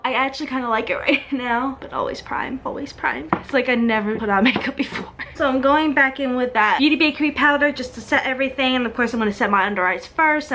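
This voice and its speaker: A woman's voice: fairly high pitch, bright, forceful tone, slight background noise. A young woman in her twenties chatting casually through her everyday makeup tutorial, peppering her talk with "like". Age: young adult. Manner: chatty, frequent "like" fillers. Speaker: beauty vlogger, esthetician.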